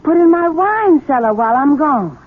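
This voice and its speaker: An actress playing a vain, headstrong belle bride in a 1970s radio mystery, theatrical and haughty. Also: female voice, high voice, sing-song, normal speed. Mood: imperious, curious. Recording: muffled, tinny old radio broadcast.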